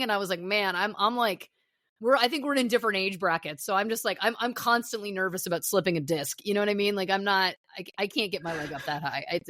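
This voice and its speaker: A woman's voice: mid-range voice, a bit bright, no echo, studio quality. A middle-aged woman chatting as a podcast guest, telling self-deprecating stories in a loose, rambling way. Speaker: podcast guest, actor and musician. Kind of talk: casual interview chat.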